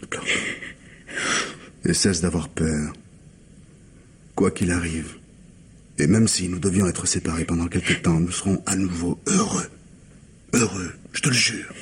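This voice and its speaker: An actor playing a weary, evasive man of forty, an anxious and loving husband who speaks haltingly and pleadingly in an old French radio mystery. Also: low voice, husky, full character voice. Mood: weary, tender. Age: 40s.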